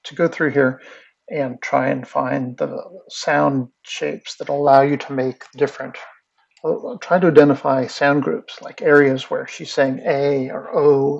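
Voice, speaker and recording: A man's voice: medium pitch, gravelly, slightly rough, dull audio. A man teaching animation in a casual screen-recorded tutorial, rambling through steps with lots of fillers while he clicks. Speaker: animation instructor.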